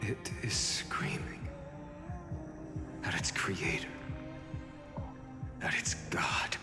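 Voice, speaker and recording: An actor playing a grave, brooding young male warrior in a video game, with a breathy, portentous delivery. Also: extremely slow, in a whisper. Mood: grave, haunted. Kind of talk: scripted game dialogue, dramatic. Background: loud game music.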